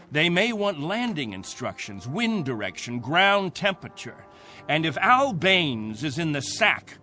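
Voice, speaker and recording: A commanding settlement captain in a radio play. His voice is bright and forceful with lively swings of pitch, and he talks with measured, sardonic authority over faint music. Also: full character voice. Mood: intense, insistent. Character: stern, commanding male captain of a stranded settlement. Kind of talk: dramatic radio play acting.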